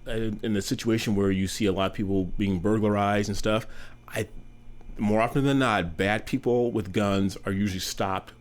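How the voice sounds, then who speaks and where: Very low voiced american guy, 50s, chill and candid, easygoing answers. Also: fairly even intonation. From United States. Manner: candid, good-humored, measured. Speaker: interviewee. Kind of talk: casual interview answers.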